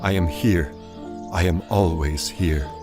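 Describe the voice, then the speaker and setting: A man playing a gentle, reassuring father with a soothing voice in an audio drama. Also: low-pitched, takes it slowly, music in the background. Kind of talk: scripted audio drama acting.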